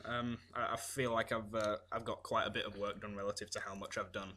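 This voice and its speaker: A man's voice: fairly low pitch, little pitch movement, a little fast, sharp tone, slight background noise. A teenage boy co-hosting a podcast in casual chat, dry and orderly as he works through the running order. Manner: orderly, runs the schedule, dry. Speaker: podcast co-host.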